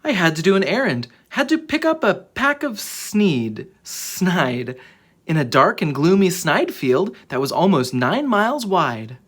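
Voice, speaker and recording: Husky, expressive children's story reader. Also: medium pitch, unhurried. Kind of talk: animated children's storybook read-aloud.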